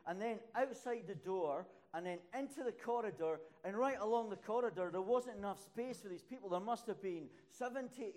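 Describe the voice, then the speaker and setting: An older man preaching in a church hall. His voice sits a little high for a man, and he tells stories from his ministry with mounting emphasis, pushing up into loud, high peaks to drive a point home. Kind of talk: sermon with personal stories.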